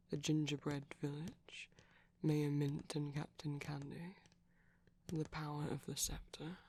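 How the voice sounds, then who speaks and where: A man playing a shy, gentle vampire boyfriend in an ASMR roleplay, soft-spoken and hesitant, often slipping into a breathy whisper. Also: on the high side, exaggerated voice.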